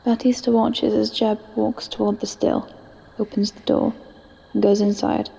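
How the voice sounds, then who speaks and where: A woman's voice: medium pitch, flat delivery, a little slow, gravelly, mellow, slightly rough. A woman narrator reading scripted audio drama scene descriptions, with long hall-like reverb on the recording.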